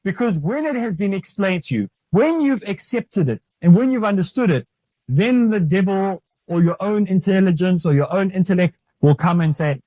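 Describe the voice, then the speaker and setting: Male host of a faith series, slightly high and mellow, who preaches with insistent repetition. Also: lively intonation, normal speed, no echo. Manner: emphatic, repetitive, urging the listener. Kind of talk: preaching religious talk.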